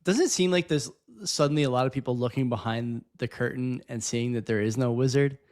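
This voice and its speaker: A man's voice: medium pitch, a little fast, a little mellow. A man co-hosting a podcast, giving rambling, opinionated commentary in a conversational style. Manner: rambling, opinionated, frequent "you know". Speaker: podcast co-host.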